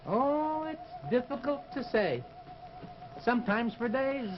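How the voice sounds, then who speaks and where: An actor playing a gloomy, ominous servant in an old radio horror drama, speaking formally and with foreboding. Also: male voice, extremely high pitch, big pitch swings, slow, exaggerated voice. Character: ominous, gloomy male servant of an isolated house. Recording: dull vintage radio recording with music.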